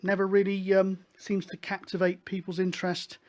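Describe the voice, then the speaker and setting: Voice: medium pitch, monotone, moderate pace, a little mellow. A host explaining things casually, in a hesitant, rambling, matter-of-fact way. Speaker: host of a hobby CB radio show.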